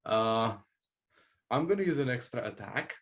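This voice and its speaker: A man speaking casually and haltingly as a player in a tabletop game, working through his moves. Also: mid-range voice, expressive, fairly bright tone.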